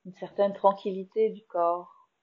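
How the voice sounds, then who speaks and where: Slow soothing French woman guiding meditation. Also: medium pitch, fairly even intonation, lo-fi sound. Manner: slow, soft, soothing guidance with long pauses. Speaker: yoga nidra teacher. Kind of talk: guided meditation.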